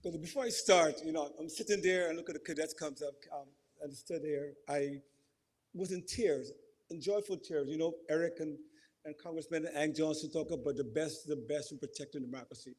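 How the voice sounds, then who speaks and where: A man from Guyana with a Guyanese accent, giving an emotional, halting speech at a community reception. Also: a little high. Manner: emotional, halting, repeats and corrects himself. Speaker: event speaker. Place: community center hall.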